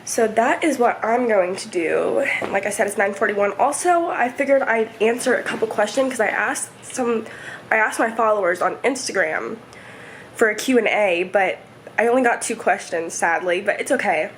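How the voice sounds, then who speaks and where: A woman in her twenties, chatting casually and rambling through a home vlog, her voice hoarse and stuffy. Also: medium pitch, lively intonation, normal speed, slight background noise, low-quality recording. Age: 20s. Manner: rambling, chatty, many fillers. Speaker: vlogger. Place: home, indoors. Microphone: camera set up nearby.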